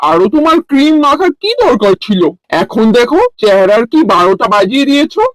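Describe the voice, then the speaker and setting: A man's voice: extremely high pitch, expressive, fast talker, fairly bright tone, dry recording, poor audio. A man voicing a sensible village husband in a moral-story cartoon, lecturing in a reproachful way. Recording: music behind the voice.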